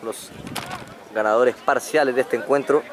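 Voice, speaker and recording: A man's voice: medium pitch, normal speed. A man giving live play-by-play commentary on a rugby match outdoors. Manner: excitable play-by-play, rises on breaks. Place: outdoors at a sports ground. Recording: crowd noise behind. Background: crowd and field noise.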